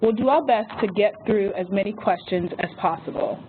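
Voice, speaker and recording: A woman moderating a webinar, reading housekeeping notes and an introduction from a script. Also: medium pitch. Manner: reads phone number slowly and carefully. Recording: tinny phone-line audio with faint hiss.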